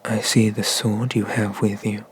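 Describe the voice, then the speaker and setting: A man voicing an ancient, haughty and menacing dragon god of the sea in a breathy, pompous whisper for an ASMR roleplay. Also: lowish voice, flat delivery, a little hiss or hum, close to an everyday voice. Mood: mocking, menacing. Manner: slow, pompous, condescending, with drawn-out pauses.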